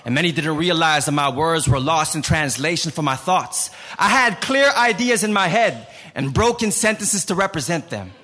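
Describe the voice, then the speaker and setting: Man, Filipino accent, native Tagalog speaker, slam poet, live venue, forceful rhythmic delivery, high voice. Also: expressive, normal speed, few pauses. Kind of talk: spoken word performance. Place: live venue before an audience.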